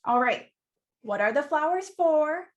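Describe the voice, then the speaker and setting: A woman playing a sassy, blunt, no-nonsense flower shop keeper in a radio play, brisk, wry and teasing in manner. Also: sits high, lively intonation. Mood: wry, prodding.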